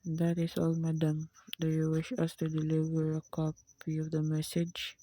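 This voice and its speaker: A woman's voice: deep voice, completely flat delivery, moderate pace, dark tone, dry recording. A woman playing a formal, impassive telegraph clerk in a radio play, reciting in a detached way.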